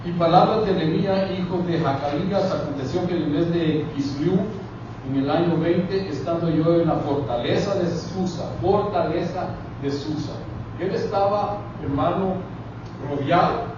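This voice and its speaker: A man's voice: on the high side, moderate pace. A male pastor preaching a sermon, addressing the congregation directly and often. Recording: echoey, distant, dull, noisy hall recording.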